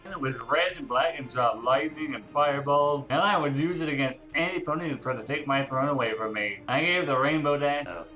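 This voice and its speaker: A man's voice: mid-range voice, fairly quick, few pauses, pushed and bright, close to an everyday voice. A man voicing a boastful, bratty young winged unicorn king telling a crude, self-important story of conquest. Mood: triumphant. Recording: music behind the voice.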